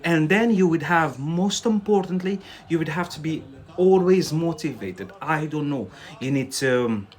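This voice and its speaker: A man's voice: a little high, expressive, moderate pace. A young man, not a native English speaker, teaching English on a live stream, chatting casually with viewers, often laughing and tagging on "yeah?".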